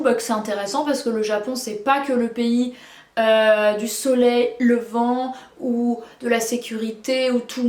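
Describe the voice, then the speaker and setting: A woman's voice: fairly high pitch, roomy sound. A French-speaking woman reviewing books in casual, chatty talk, full of fillers and restarts. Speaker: book reviewer. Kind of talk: casual book review chat.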